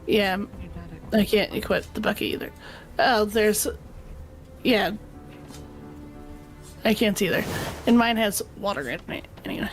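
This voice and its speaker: A woman's voice: mid-range voice, unhurried, long pauses, faint music behind, low-quality recording. A woman chatting casually as a player in a group gaming session.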